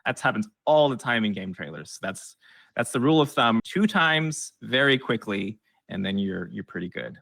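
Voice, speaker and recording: A man's voice: lowish voice, expressive, normal speed, vocal fry, a bit bright, no room sound, faint music behind. A man explaining things as an expert guest in a casual interview, often acting out imagined audience reactions in a conversational way.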